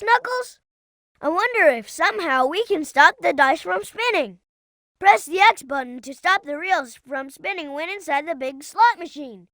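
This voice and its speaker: A performer voicing a young boy fox sidekick in a video game, childlike, earnest and excitable, eager to explain things. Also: extremely high pitch, no echo, heavily acted. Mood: earnest, observant. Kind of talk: video game character voice acting.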